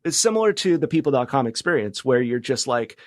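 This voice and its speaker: A man speaking as an interview guest in casual conversation, reflective and halting, often restarting his thoughts. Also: medium pitch, very animated, no echo, clean studio sound. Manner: halting, reflective, many false starts. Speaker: podcast guest, media founder and journalist.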